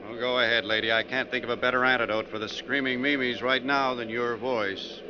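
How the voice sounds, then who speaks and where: An actor playing a sarcastic, edgy, talkative bus passenger in a needling, theatrical 1940s radio style. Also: male voice, mid-range voice, steady tone, brisk, natural voice. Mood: irritated sarcasm. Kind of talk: 1940s radio drama acting. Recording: dull, noisy 1940s radio recording.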